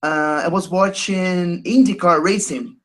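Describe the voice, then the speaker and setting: A man in his thirties from Brazil with a Brazilian Portuguese accent, speaking English as a second language on a video call, halting and hesitant, with many fillers. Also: sits high, steady tone, a bit bright. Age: 30s. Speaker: caller. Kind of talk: casual chat.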